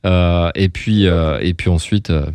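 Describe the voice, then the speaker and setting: A man's voice: low-pitched, fairly even intonation, measured pace, darkish tone, smooth. A male radio host chatting casually and enthusiastically, rambling a little with frequent hesitations.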